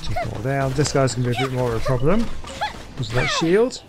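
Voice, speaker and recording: A man's voice: medium pitch, very animated, fast talker. A man giving casual gameplay commentary, thinking aloud as he plays. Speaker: gaming YouTuber.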